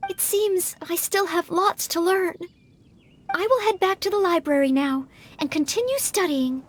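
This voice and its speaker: A woman's voice: very high voice, moderate pace. A woman voicing an earnest, anxious, polite young maid in a video game, studious and fretful in manner. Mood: apologetic, earnest. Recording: background music behind voice.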